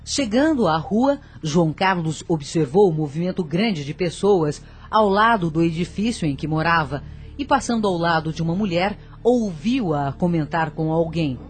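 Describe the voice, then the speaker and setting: A woman narrator of a scripted radio drama, husky and dramatic, telling the story with a moralizing turn. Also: on the low side, expressive, normal speed, soft background music.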